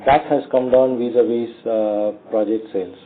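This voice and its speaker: A man with an Indian accent speaks as a company executive answering questions on an earnings call, in a halting, measured way with frequent pauses. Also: medium pitch, fairly even intonation. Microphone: conference call phone line. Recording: tinny narrowband phone line.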